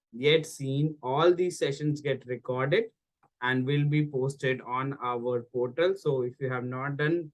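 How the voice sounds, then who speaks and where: A man acting as host, giving an informal introduction for a guest speaker. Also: medium pitch.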